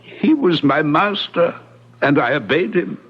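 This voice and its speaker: An actor playing a loyal, elderly manservant in an old-time radio drama, pleading and deferential in a halting manner. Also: male voice, on the high side, animated, slightly rough. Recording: dull lo-fi radio drama recording.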